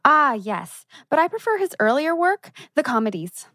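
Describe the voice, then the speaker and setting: A woman plays a nervous, chatty teenage girl in a teen drama reading. The voice is high and jumps around in pitch. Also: very animated, moderate pace, bright, forceful tone, no room sound, studio quality, exaggerated voice. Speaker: actress at a table read. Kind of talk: table read of a TV teen drama script.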